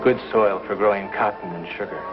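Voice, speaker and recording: An actor playing a devout, affectionate and persuasive pioneer husband in a 1950s television western. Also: male voice, on the low side, a little fast, close to an everyday voice. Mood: fervent, persuasive. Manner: earnest, persuasive. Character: devout, earnest frontier husband and father, affectionate. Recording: muffled 1950s TV soundtrack, music behind.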